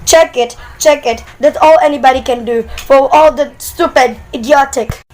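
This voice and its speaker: A woman's voice: sits high, moderate pace, close to an everyday voice, rough recording. A woman playing a nervous, demanding bedridden wife, irritable and frantic, in an amateur radio play. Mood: exasperated, indignant. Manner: exasperated, complaining, dramatic.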